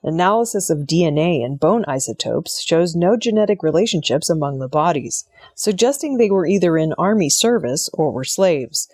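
Low-voiced woman reading scripted news. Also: lively intonation, moderate pace, darkish tone, no echo, clean studio sound. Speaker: news reader.

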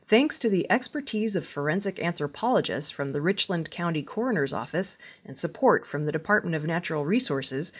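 Woman, slightly low husky voice, measured formal news narration. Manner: measured, formal newsreader delivery. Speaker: news presenter.

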